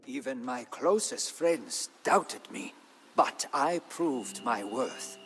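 An actor playing a proud, ambitious, ageing Majapahit minister in a game, narrating his story in a solemn, theatrical, deliberate manner. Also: medium pitch, lively intonation. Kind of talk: dramatic scripted game narration in first person. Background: background music.

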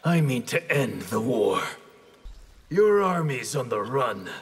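Stern honorable ninja master in a game, raspy, terse, mid-range voice. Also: male voice, medium pitch, very animated, slow, full character voice. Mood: resolute. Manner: terse, resolute, formal. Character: stern, honorable masked ninja clan grandmaster. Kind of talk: video game character acting.

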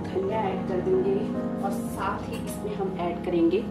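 A woman hosting a home cooking channel, giving steady step-by-step recipe instructions and speaking to her viewers as friends. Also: mid-range voice, measured pace. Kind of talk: recipe demonstration voice-over. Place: home kitchen. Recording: roomy kitchen sound with music.

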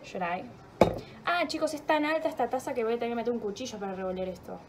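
A woman's voice: a little high, animated, quick pace, crowd noise. A young woman with a Rioplatense Spanish accent rambling casually and joking at herself while vlogging from her home kitchen, with a sore throat and a stuffy nose. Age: young adult. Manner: chatty, rambling and self-mocking, swears freely. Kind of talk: casual vlog chat.

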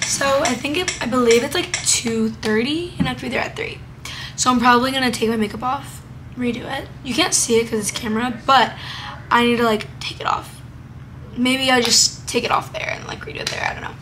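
Raspy eighteen-year-old woman vlogging. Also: fairly high pitch, a little fast, fairly bright tone, slight background noise. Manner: chatty, lots of "like" and "um". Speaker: vlogger and singer. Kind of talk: casual vlog chat to camera. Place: small bedroom, then inside a car. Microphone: handheld camera close to face.

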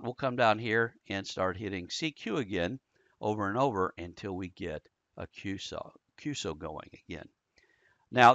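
Male instructor, conversational screen-share tutorial, step-by-step explaining, mid-range pitch, dry lo-fi sound. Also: a bit bright, dry recording. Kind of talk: casual screen-share software tutorial narration. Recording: dull lo-fi close dry voice-over.

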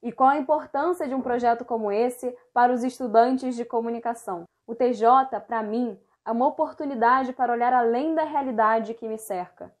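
A young woman delivers a scripted presentation with a slightly high voice. She reads in a measured, deliberate way, like a student reporter. The sound is picked up close by a small wired earphone mic. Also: darkish tone. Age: young adult.

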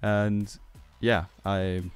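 Low, slow-talking Austrian man. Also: clean tone. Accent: Austrian German. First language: German. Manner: relaxed, hesitant with many fillers. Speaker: music producer. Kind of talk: tutorial walkthrough. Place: small home studio, indoors. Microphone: studio mic on boom arm with headphones.

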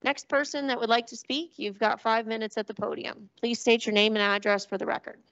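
A woman's voice: a little high, steady tone, a little fast, fairly bright tone, dry recording. A woman chairing a council meeting, firm and procedural as she manages speakers. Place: council chamber. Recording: dry, dull council chamber recording.

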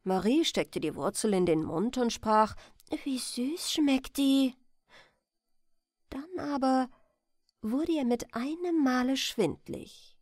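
A woman reading a bedtime fairy tale as storyteller, in a gentle, expressive manner. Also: on the high side, unhurried, no echo, studio quality.

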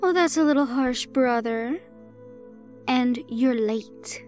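A woman performs a chaos-loving fae villainess in an audio drama. She has a high voice and a slow, theatrical, teasing drawl, with music underneath. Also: a little mellow. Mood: gleeful. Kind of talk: audio roleplay drama acting.

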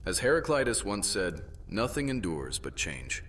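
A man's voice: deep voice, sing-song, normal speed, a little hiss or hum. A man playing a brave, plain-spoken young Roman officer in a fantasy audio drama. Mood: reflective. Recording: echoey, distant, loud music behind.

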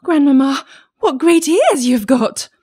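Woman as a high-pitched, innocent little girl. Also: huge pitch swings, normal speed, a little mellow. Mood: amazed.

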